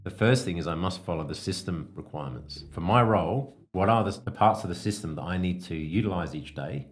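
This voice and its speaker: A man hosting a business coaching podcast, explaining things in a measured, instructive way. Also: low-pitched, lively intonation, soft background music.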